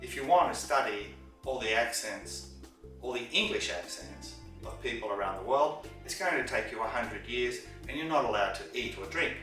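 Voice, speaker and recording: A man teaching English to camera, stressing points by repeating words and slowing down on key phrases. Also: mid-range voice, fairly bright tone. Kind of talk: lesson to camera. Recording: very echoey room, distant mic, with music.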